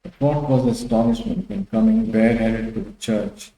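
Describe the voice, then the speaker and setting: A man's voice: mid-range voice, big pitch swings, normal speed, creaky, mellow, echoey room, low-quality recording. A man narrating a classic literary story as a dramatic audiobook reading.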